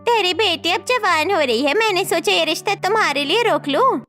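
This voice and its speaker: Meddling middle-aged aunt, voiced by a woman, extremely high, sharp tone, persuasive, cartoon family drama. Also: expressive, moderate pace, soft background music, full character voice. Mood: eager, persuasive.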